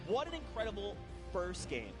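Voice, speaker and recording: A man commentating a live esports match in an arena, excitable and shouting on big plays, losing his voice. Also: sits high, moderate pace, over music. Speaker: esports caster. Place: large arena.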